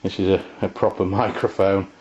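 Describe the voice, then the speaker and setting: A man giving a casual, halting, unscripted product review as he goes. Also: sits low, flat delivery, a little mellow. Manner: halting, hesitant delivery. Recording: dull lo-fi amateur video sound.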